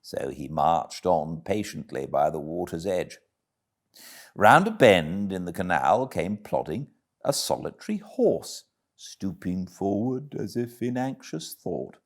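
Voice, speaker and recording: A man's voice: sits low, darkish tone. A man with a British accent narrating a classic story expressively, as an audiobook reader does. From Britain.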